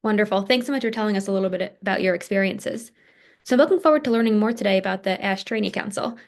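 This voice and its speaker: A woman hosts an interview show and moves briskly through her questions. Her voice sits in a middle range. She reads polished, upbeat introductions without a stumble. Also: medium pitch. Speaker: podcast host. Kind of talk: scripted interview host.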